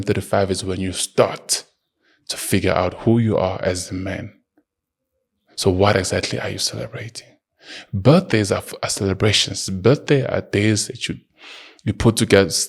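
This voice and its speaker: A man's voice: low voice, gravelly, breathy edge. A man in his early thirties hosting a solo podcast, lecturing emphatically and speaking straight to the listener. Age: early 30s. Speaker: podcast host. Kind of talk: solo motivational monologue.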